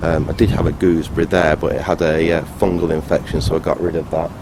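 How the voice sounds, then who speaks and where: Man, deep register, casual vlog narration, hesitant and rambling, matter-of-fact, noisy handheld camera audio. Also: flat delivery, fast, vocal fry. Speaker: vlogger, hobbyist restorer. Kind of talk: casual unscripted video tour.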